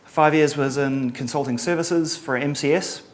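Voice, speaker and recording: A middle-aged man from New Zealand with a Kiwi accent, giving a relaxed conference talk with lots of ums and a dry sense of humor. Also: a little high, moderate pace. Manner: casual, many ums and uhs, dry humor. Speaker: conference presenter.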